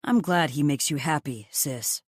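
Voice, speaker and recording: A man's voice: on the high side, a little slow, no room sound. Man acting a cheeky grown-up brother, dry sound. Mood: sheepish, fond. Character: thirty-nine-year-old playful, cheeky, apologetic older brother. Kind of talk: romance audiobook dialogue.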